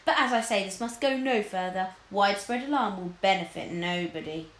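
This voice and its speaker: A woman voicing a cunning storybook lord who speaks in grave, theatrical flattery, in an echoey room. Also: medium pitch, fairly quick, close to an everyday voice. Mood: grave, conspiratorial. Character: cunning, flattering, scheming male lord, falsely sorrowful.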